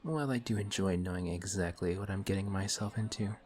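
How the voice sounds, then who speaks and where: An actor playing a courteous, formal villain who is sly and quietly taunting, in a one-sided audio roleplay. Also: male voice, low-pitched, brisk, lightly acted. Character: suave, polite male villain in civilian clothes. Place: coffee shop scene with faint café chatter.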